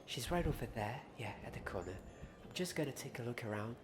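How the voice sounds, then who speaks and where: A man doing ASMR fantasy roleplay, soft and often slipping into a whisper, speaking gently and hesitantly to the listener. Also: mid-range voice, lively intonation, fast, noisy background. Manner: gentle, hesitant, repeats himself, addresses the listener.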